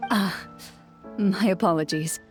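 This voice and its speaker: A woman playing a calm, reserved champion duelist in a video game, speaking in a measured, formal way. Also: medium pitch, soft background music, close to an everyday voice. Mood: polite, fond. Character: composed, reserved female champion duelist recalling her past. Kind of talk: video game character dialogue.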